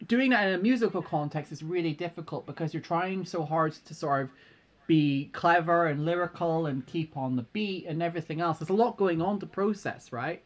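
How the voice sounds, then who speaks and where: A co-host with a medium-pitched voice, chatting through advice in a thoughtful way. The phrasing is tentative and conversational, often rounded off with a short check-in question. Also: fairly quick. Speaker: co-host giving feedback. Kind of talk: casual advice chat.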